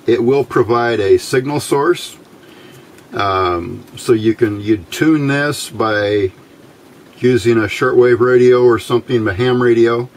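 A middle-aged man giving an informal hands-on tutorial in halting short phrases, pausing often as he explains. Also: medium pitch. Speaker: electronics hobbyist demonstrating a homemade circuit. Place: indoors at a workbench. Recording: steady clear background noise.

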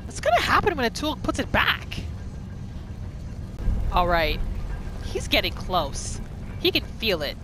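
A woman giving casual, excitable role-play commentary as a gaming video host, often repeating her phrases. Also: medium pitch, measured pace, long pauses, low-quality recording. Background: game music and sound effects.

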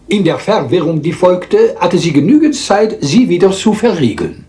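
An actor playing a small, self-assured Belgian detective with a French accent, theatrical and politely lecturing in a radio crime drama. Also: male voice, high-pitched, sing-song, warm tone. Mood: explaining, confident. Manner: theatrical, deliberate, polite, lecturing, teasing rhetorical questions.

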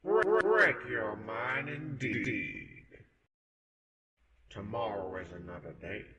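A man's voice: medium pitch, huge pitch swings, slow pace, bright, forceful tone, dull audio, full character voice. A man voicing a menacing animatronic bear mascot in a horror cartoon, falsely cheery and taunting in a theatrical way. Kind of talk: animated horror character monologue. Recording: roomy, reverberant, distant, faint noise.

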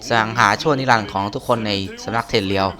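A man voicing a scheming, obsequious male clan heir from a game, reading deferentially and haltingly. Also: lowish voice, sharp tone. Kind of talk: reading game dialogue aloud.

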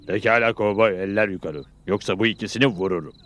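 An actor playing a bad-tempered, bossy cartoon outlaw leader, gruff and threatening. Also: male voice, fairly low pitch, fairly even intonation, fast talker, a bit bright, lightly acted. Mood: menacing. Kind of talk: cartoon dub acting. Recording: music behind the voice.

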